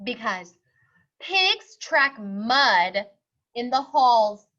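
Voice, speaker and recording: Storybook principal, male, played by a woman: stern, dismissive, very measured, slightly muffled recording. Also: on the high side, big pitch swings, bright, forceful tone, full character voice. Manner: slow, firm, emphatic.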